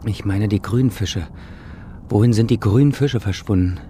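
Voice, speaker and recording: A man's voice: lowish voice, moderate pace, noisy background, close to an everyday voice. An actor playing a brooding, insistent man in a radio drama. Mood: troubled.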